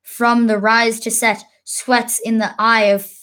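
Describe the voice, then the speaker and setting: A woman's voice: on the high side, flat delivery, slow, a bit bright. A woman reading the part of a troubled, brooding king in Shakespearean verse, declaiming and sometimes restarting a stumbled word. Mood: weary, solemn. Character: troubled young warrior king, brooding on burdens of rank.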